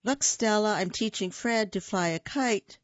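A woman's voice: medium pitch, measured pace, dry recording, pitched above the performer's own voice, lightly acted. Lady reading a wide-eyed little boy, eager, always asking stuff, kinda muffled radio sound. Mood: excited.

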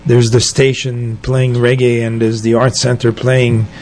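An older man telling anecdotes as an interview guest, in a halting, conversational way. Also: medium pitch, level tone, a little mellow. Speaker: interview guest, arts organizer. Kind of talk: conversational reminiscing.

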